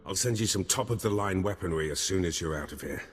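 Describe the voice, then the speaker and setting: An actor playing a gruff army captain turned spymaster in a video game, speaking in a grave, plain way. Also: male voice, deep voice, fast talker. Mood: resigned, pained. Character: gruff male army captain turned spy handler, ruthless but honourable. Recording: long hall-like reverb.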